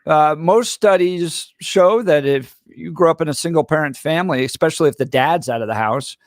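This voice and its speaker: A man's voice: on the high side, moderate pace, dry recording. A man hosting a talk radio show, making emphatic points in list-like runs from a studio mic. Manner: emphatic, lectures in lists. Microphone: studio microphone.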